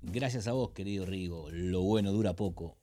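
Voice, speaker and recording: An actor with an Argentine Rioplatense accent playing an irreverent slacker who bluffs as a film expert, full of chummy, rambling slang in a comedic radio show. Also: male voice, sits low, moderate pace, dark tone, soft background music, natural voice. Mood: bluffing. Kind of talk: comedic radio theatre banter.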